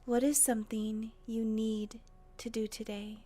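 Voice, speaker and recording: Woman, meditation guide, mellow breathy tone, slow pace, gentle delivery, long pauses. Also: fairly high pitch, flat delivery, soft background music. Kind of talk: guided meditation, soothing narration.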